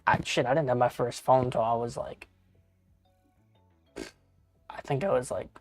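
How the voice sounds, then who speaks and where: A man's voice: mid-range voice, measured pace, darkish tone, dry recording, soft background music. A man in his thirties chatting casually with viewers while playing a game on a live stream, rambling and swearing, often calling people bro and dude. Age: 30s.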